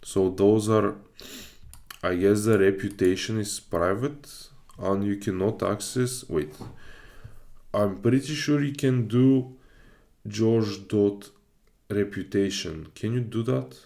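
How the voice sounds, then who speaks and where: A man's voice: on the low side, slow, vocal fry, mellow. A man from Bulgaria livestreaming his study session, casually reading aloud and hesitantly thinking through it as he goes. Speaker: live streamer learning web development.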